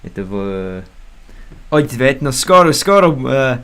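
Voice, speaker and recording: A man's voice: mid-range voice, big pitch swings, takes it slowly, fairly bright tone, clean tone. A young American man streaming casually, rambling with many fillers and restarts, stumbling through beginner Russian. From United States. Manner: hesitant beginner Russian with self-corrections. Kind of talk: casual livestream chat.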